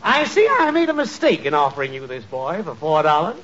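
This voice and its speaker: Slick chummy salesman, really high for a man, muffled old 40s radio show. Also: huge pitch swings, fairly quick, fairly bright tone. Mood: persuasive. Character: smooth-talking, chummy male salesman pushing a deal. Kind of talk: 1940s radio comedy acting. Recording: muffled 1940s radio recording.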